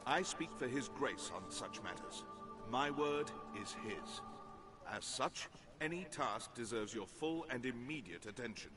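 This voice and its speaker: An actor playing a stern, formal court official in a fantasy video game, using measured archaic diction. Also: male voice, medium pitch, lively intonation, over music, lightly acted. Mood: stern, commanding.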